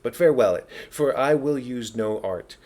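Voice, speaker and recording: A man's voice: mid-range voice, lively intonation, normal speed, darkish tone, close to an everyday voice. A man playing a long-winded, self-important old counsellor in a dramatic Shakespeare reading, pompous and rhetorical. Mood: officious, declaiming. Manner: pompous, rambling, rhetorical, digressing.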